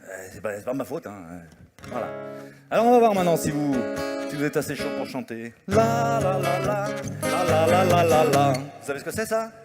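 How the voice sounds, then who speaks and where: A man's voice: a little high, very animated, moderate pace. A man doing musical stand-up comedy on stage, singing parody songs and chatting with the audience in French with Italian words mixed in. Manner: sings and chats with the audience, mixing French and Italian. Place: stage before a live audience. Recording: live stage recording with loud guitar. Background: guitar accompaniment.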